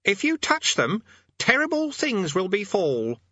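A man voicing a squeaky, eager young boy demonologist who blusters pompously and hesitates, in a storybook reading. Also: wildly swooping pitch, a little slow, sharp tone, no echo, highs cut off, full character voice. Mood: sheepish bluster. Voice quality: squeaky, high-pitched.

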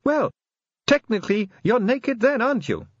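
Man putting on a pert, high female vampire constable. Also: very animated, normal speed, lo-fi sound, exaggerated voice. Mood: pointed. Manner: questioning, pedantic. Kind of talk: audiobook character acting.

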